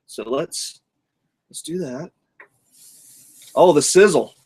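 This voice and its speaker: Man, slightly high voice, loose and jokey, talks while cooking outside at the grill. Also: animated, takes it slowly, darkish tone, breathy edge. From North Georgia, USA. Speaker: cooking show host. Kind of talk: casual cooking vlog. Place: outdoors at a grill in the mountains.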